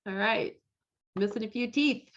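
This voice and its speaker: A woman's voice: on the high side, measured pace. A woman casually hosting a live online event over a video call, with slightly dull call audio. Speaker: host of an online costume parade. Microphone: computer mic on a video call.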